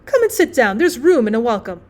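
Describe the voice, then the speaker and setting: A woman voicing a welcoming, amused gentleman passenger in an audiobook, hearty and exclamatory. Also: fairly high pitch, very animated, a little fast. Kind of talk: acted audiobook storytelling.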